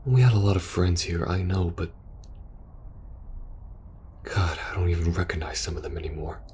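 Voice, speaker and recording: Man acting a low-voiced, war-weary soldier. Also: moderate pace, a little hiss or hum, natural voice. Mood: worried, pleading. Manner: hesitant, earnest, hushed at times. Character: war-weary young male soldier pleading with best friend, protective, earnest. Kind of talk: intimate ASMR audio roleplay.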